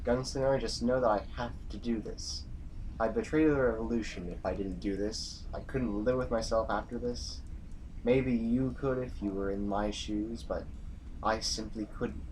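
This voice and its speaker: A man's voice: fairly low pitch, steady tone, normal speed, close to an everyday voice. Slightly off the mic over faint noise, an actor plays a stern male general of a revolution. He is dutiful, formal and commanding, speaking with resolve in a science fiction audio drama. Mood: resolute. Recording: distant from mic, faint noisy ambience.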